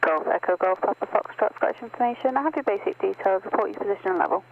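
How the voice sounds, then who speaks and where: Male air traffic controller, high creaky voice, procedural delivery, thin phone-like aviation radio. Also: fast talker. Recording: tinny, narrow airband radio.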